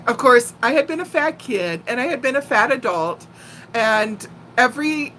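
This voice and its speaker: A woman in her sixties chatting casually as a live stream host, telling personal stories in a playful, animated way. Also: on the high side, moderate pace, slight background noise, low-quality recording. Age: 60s. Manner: animated, playful storyteller who laughs easily and often. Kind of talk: casual chat and personal storytelling.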